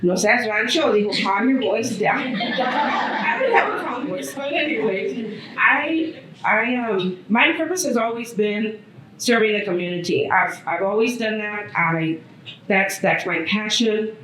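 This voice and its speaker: A middle-aged woman on a panel, loud-voiced, warm and self-deprecating. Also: mid-range voice, a little slow, roomy sound, over a noisy crowd, rough recording. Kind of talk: panel discussion talk. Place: hotel event room at a brunch.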